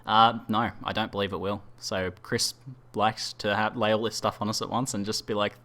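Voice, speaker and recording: A man's voice: fairly low pitch, flat delivery, normal speed, sharp tone. A man hosting a casual gaming podcast, chatting informally and reading out questions from the chat. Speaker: podcast host.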